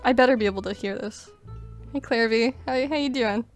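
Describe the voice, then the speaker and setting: A young woman who streams games, giving gushing, excitable reaction commentary. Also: on the high side, brisk. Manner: gushing, giggly, exclaiming. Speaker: game streamer. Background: game music.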